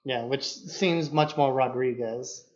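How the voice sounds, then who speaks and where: A man's voice: mid-range voice, slow. A man co-hosting a podcast, explaining film matters in an informal, casual discussion. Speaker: podcast co-host. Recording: dull, muffled lo-fi podcast audio.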